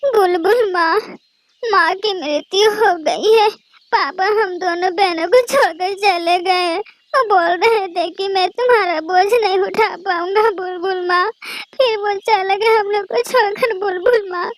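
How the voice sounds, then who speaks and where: A woman's voice: very high voice, unhurried, no echo. A woman voicing a sad, pleading young girl bird in a Hindi cartoon. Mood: tearful, pleading. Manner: pleading, repetitive, plaintive.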